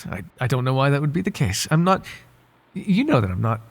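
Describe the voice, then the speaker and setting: A man playing a devoted, flirtatious butler with a secret in an intimate ASMR roleplay, speaking softly in polite, formal phrases with halting hesitations. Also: medium pitch, very animated, breathy edge. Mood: nervous evasion. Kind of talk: ASMR roleplay voice acting, intimate one-sided dialogue.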